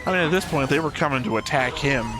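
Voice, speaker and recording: A man's voice: medium pitch, expressive, fast talker, over music. A man giving casual, blunt, sardonic and profane commentary as he hosts a reaction show.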